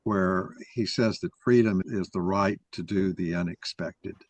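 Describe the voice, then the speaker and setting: A man's voice: low-pitched, a little slow, dry recording. A man giving an explanatory talk in an opinionated, didactic way, taking questions as he presents his argument.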